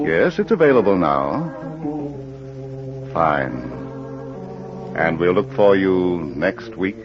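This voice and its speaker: An actor playing a genial, courteous crime club librarian who hosts a 1940s radio show in a measured, theatrical announcing style. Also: male voice, deep voice, sing-song, over loud music, full character voice. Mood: genial, inviting. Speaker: host and announcer. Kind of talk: 1940s radio announcing, half of a phone call. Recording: muffled old radio recording, light hiss.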